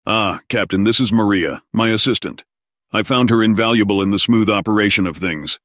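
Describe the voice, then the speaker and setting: A man voices a courteous alien administrator of a frontier colony. His voice is lowish and dryly recorded, with a fussy, officious formality suited to sci-fi audio drama. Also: a little fast, fairly bright tone, no echo, clean studio sound, lightly acted. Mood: genial.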